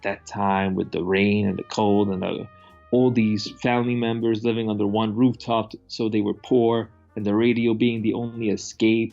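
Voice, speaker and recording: A man reviews films in a loose, rambling chat. His voice has a creaky, gravelly edge and he is opinionated and casually profane. The audio is slightly dull, with music playing underneath. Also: on the low side, mellow. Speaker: movie review host.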